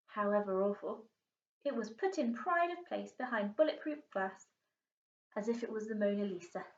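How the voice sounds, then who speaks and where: A woman teacher reading a children's storybook aloud in an expressive storytelling style. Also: fairly high pitch. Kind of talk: storybook narration. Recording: roomy, distant, muffled home recording.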